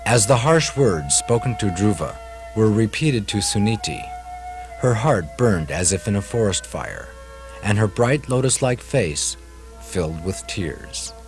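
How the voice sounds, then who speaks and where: Male narrator, dramatic puppet-show storytelling, lowish and expressive, over music. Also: measured pace. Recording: clear music behind narration.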